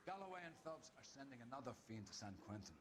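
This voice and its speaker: A man's voice: medium pitch, lively intonation, fast talker, fairly bright tone, slight background noise, lightly acted. A man playing a pompous police captain in a video game, speaking in a grandiose, oratorical way to his detectives. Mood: jovial, commanding. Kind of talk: dramatic video game acting.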